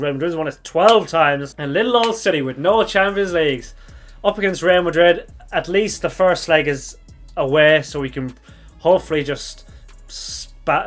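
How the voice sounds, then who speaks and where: A young man with a slightly croaky voice from shouting, chatting casually through a football reaction vlog, thinking aloud and correcting himself as he goes. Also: fairly high pitch. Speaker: football fan channel host.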